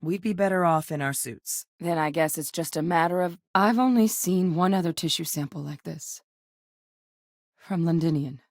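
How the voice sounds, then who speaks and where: A seasoned woman scientist and soldier, played by an actress for a video game. Her voice sits on the low side and is recorded close and dry, with no room sound. She speaks in a measured, matter-of-fact way, guarded yet earnest and determined. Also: a little mellow, dry recording, close to an everyday voice. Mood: serious, measured. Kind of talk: video game character dialogue.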